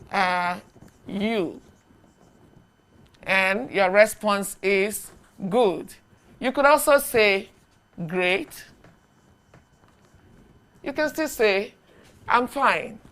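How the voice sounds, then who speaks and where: Slow, clear-voiced woman teaching English. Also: mid-range voice, fairly bright tone. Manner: slow, deliberate, repeats phrases for learners to echo. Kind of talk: beginner language lesson.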